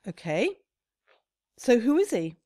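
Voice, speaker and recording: A woman's voice: a little high, big pitch swings, slow pace, warm tone, dry recording, studio quality. A woman acting in a radio comedy as an indignant, anxious shop owner with a questioning, exasperated manner. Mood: puzzled.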